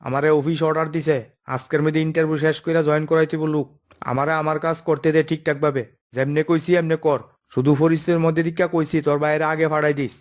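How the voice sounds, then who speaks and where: A man's voice: on the high side, little pitch movement, fast, close to an everyday voice. A man voicing a stern, by-the-book office boss in a comic cartoon, bossy and explanatory, with an eastern Bengali dialect. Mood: firm, warning. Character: stern, by-the-book male office boss holding job interviews.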